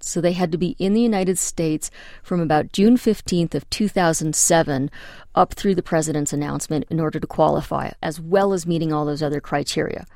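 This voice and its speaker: A woman with a lowish voice explains legal rules the way an attorney does, walking through practical examples. Her voice is recorded close and dry, with no room around it. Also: darkish tone, no room sound. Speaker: immigration attorney. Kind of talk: interview answers.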